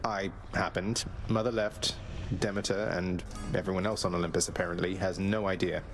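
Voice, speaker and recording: A man's voice: sits low, fairly even intonation, moderate pace, music in the background, close to an everyday voice. A man voicing a young underworld prince in a video game, a defiant but good-hearted son with a wry, understated way of talking. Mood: probing, defiant.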